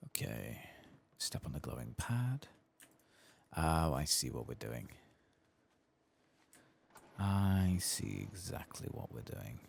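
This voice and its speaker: A man doing soft-spoken ASMR game commentary, husky and breathy, often slipping into a whisper, with casual, self-mocking muttering. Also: extremely low pitch, very animated, takes it slowly, pauses often, a little hiss or hum. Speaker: host of a game playthrough.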